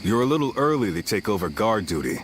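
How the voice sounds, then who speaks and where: A man playing a steady, determined warrior of ancient China in a video game, measured and composed. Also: fairly low pitch, slight background noise. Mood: calm. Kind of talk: video game dub acting.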